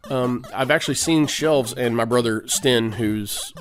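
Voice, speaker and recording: A man with a cold giving rambling, casual commentary while playing an old video game, often clearing his throat and using fillers. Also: medium pitch, a little slow. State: has a cold. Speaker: let's play host. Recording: game music behind the voice.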